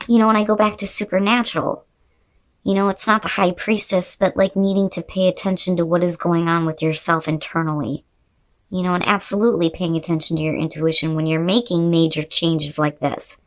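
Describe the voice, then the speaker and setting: A woman host giving an unscripted tarot reading to viewers, talking in a conversational, emphatic way with the odd mild swear word. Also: lowish voice.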